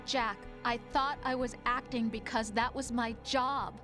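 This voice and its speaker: Pleading young lover. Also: female voice, a little high, steady tone, unhurried, bright, forceful tone, faint music behind. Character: young woman confessing love, tender and emotional. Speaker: game character. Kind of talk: video game cutscene voice acting.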